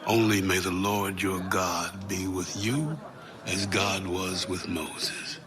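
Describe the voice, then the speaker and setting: Loyal tribesman, low voice, solemn, audio scripture drama, clear crowd behind. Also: slow pace. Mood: loyal, resolute. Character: loyal Israelite tribesman pledging obedience for his people.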